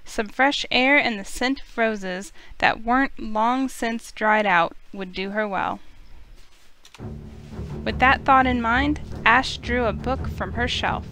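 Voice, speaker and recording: A woman's voice: a little high, slow pace, sharp tone, no room sound, faint music behind, poor audio. A woman hosting a game playthrough, giving commentary and reading the story text aloud. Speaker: host of a game playthrough channel.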